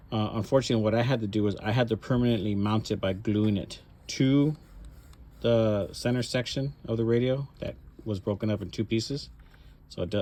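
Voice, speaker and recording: A man's voice: lowish voice, a little mellow. A man presenting his cosplay prop modification in a casual show-and-tell style, rambling through it step by step. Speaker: hobbyist presenter showing a cosplay prop mod.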